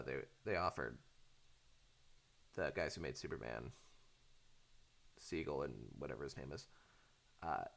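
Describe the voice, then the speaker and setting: A man chatting casually in a halting, hesitant way, rambling off on tangents. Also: rumbling low, gravelly, fairly bright tone. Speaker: fandub creator talking off the cuff.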